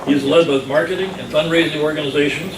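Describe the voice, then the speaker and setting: A man reading a prepared introduction for a guest speaker at a club meeting, formal and measured. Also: a little high, fairly even intonation, background noise. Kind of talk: prepared speech read aloud. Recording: echoey room, distant mic, background clatter.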